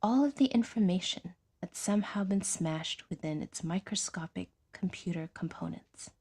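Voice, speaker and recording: A woman's voice: lowish voice, moderate pace, a little mellow, breathy edge. A woman reading scripted fiction narration in the manner of an audiodrama storyteller.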